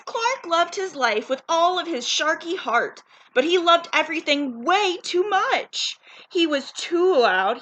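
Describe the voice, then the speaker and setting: Animated, high-voiced woman reading a storybook. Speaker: storybook read-aloud narrator, asks listeners questions.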